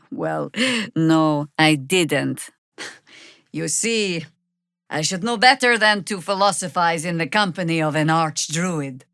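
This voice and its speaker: An actress voicing a stern, wry veteran druid woman in a fantasy video game, with a dry, sardonic, measured delivery. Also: sits low, vocal fry, a bit bright, no room sound, exaggerated voice. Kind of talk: fantasy game character dialogue.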